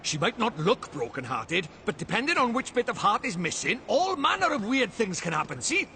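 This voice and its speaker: A man voicing a cheeky, excitable little fairy sidekick in an animated fantasy game, chummy and colloquial. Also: sits high, normal speed, slight background noise, heavily acted. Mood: explaining.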